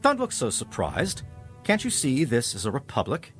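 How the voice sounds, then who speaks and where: An actor playing a pompous, self-important president of a tiny republic in a video game. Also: male voice, medium pitch, huge pitch swings, moderate pace, music in the background. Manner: pompous, grandiose. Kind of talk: video game character dialogue.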